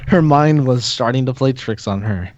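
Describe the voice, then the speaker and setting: A man's voice: medium pitch, moderate pace, a crowd in the background. A man narrating a fan-fiction story aloud in a comedic group reading, stumbling and laughing over the words. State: sick. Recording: dry, dull lo-fi home mic.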